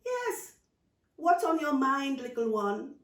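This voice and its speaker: Woman doing a big-hearted auntie from a kids story, teasing, stretches words, bouncy pitch, mic far off. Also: very animated, heavily acted. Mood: gently probing. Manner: teasing questions, drawn-out emphatic phrasing, dialect grammar. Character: playful, nurturing aunt, big-hearted and teasing. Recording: roomy, distant microphone.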